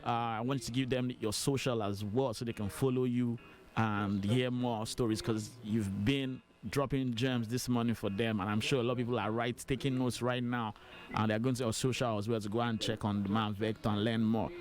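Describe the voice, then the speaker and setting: Fast-talking Nigerian radio host man. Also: medium pitch. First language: Yoruba. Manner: fast, animated, with frequent fillers. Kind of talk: radio interview.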